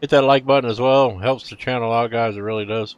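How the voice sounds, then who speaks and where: A middle-aged man streaming casually, chatting with viewers in a laid-back, rambling way and letting phrases trail off quietly. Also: medium pitch, fairly even intonation, brisk, clean tone, dry recording, dull audio. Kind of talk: casual live-stream chat.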